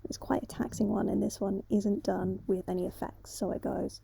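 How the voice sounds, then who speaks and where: A woman's voice: medium pitch, little pitch movement, normal speed, creaky, warm tone, breathy edge, dry recording. A woman speaking casually and a little hesitantly as an amateur voice actor, telling unscripted stories to viewers.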